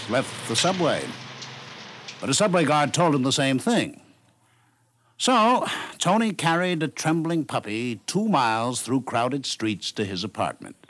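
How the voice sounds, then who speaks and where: A man narrating a scripted story for 1950s radio, with measured phrasing and dramatic pauses. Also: mid-range voice, lively intonation, a bit bright. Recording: noisy 1950s radio broadcast recording.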